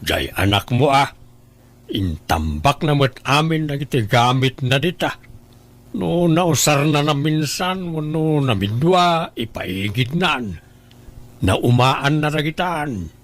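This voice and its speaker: A man's voice: fairly high pitch, animated, slow pace, a bit bright. A man playing a grumbling, opportunistic father of a grown daughter in an audio drama, matter-of-fact in manner. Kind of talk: Ilocano audio drama dialogue.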